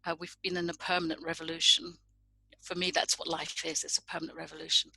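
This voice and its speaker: A woman's voice: low voice, level tone, quick pace, creaky, bright, forceful tone, slightly rough, rough recording. A middle-aged woman from Indonesia, a guest in a reflective conversation, speaking thoughtfully as she searches for her words.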